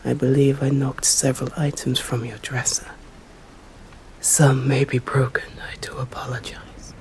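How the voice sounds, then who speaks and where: A woman with an English accent playing a stern, courteous female vampire in an ASMR roleplay, speaking formally and deliberately in a breathy voice that often drops to a whisper. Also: rumbling low, little pitch movement, a little mellow. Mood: soft apology. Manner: slow, deliberate, formal, menacing.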